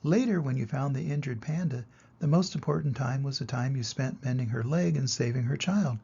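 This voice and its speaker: A man's voice: medium pitch, fairly quick, creaky, dark tone, husky, lo-fi sound. An older man voicing a wise old male turtle in a storybook, teaching in a patient, explanatory manner.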